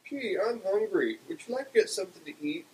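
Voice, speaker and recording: A man voicing a shrill, lovelorn woman neighbour who pleads and exclaims, reading a comic story. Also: animated, brisk, darkish tone, poor audio. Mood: eager, pleading. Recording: clear background hiss.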